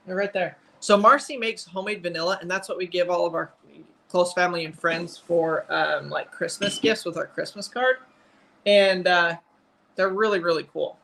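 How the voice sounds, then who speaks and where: A man in his twenties hosts a casual family livestream. His voice is bright with noticeable creak. He talks in an informal, slightly rambling way, as if to regular followers. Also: high voice, fairly even intonation, moderate pace, slight background noise, rough recording. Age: 20s. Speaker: family vlog host.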